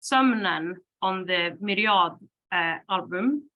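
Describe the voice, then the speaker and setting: A woman whose first language is Swedish, a band singer and lyricist, answering interview questions thoughtfully, with many pauses and fillers and frequent laughs. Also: mid-range voice, narrow, tinny audio. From Sweden.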